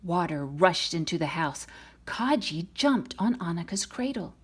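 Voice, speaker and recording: A woman reading a children's storybook aloud in an expressive, dramatic manner. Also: fairly low pitch, a little slow, slightly rough. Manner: dramatic, voices the characters' lines. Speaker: storybook reader.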